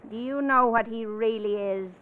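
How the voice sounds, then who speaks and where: Actress as a sneering older foster mother, high-voiced. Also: moderate pace, smooth, close to an everyday voice. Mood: sneering, taunting. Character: bitter, sneering foster mother, hard and scornful. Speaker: actress in a crime radio drama. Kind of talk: classic radio drama acting. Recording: muffled, phone-like old radio recording.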